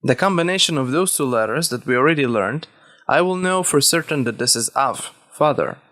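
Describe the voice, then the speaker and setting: A man who is a native Hebrew speaker, teaching a language lesson step by step, deliberately and with key words repeated. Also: mid-range voice, animated, sharp tone. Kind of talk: instructional lesson, explaining step by step.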